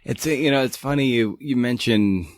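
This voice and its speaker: A man's voice: mid-range voice, normal speed, dry recording. A man hosting a podcast, speaking close to the microphone. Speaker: podcast host, interviewer.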